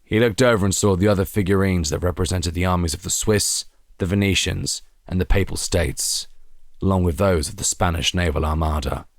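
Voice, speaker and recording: A man reading a scripted historical story as a narrator, in a measured, deliberate, theatrical way. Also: low-pitched, no echo, clean studio sound. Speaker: audio drama narrator.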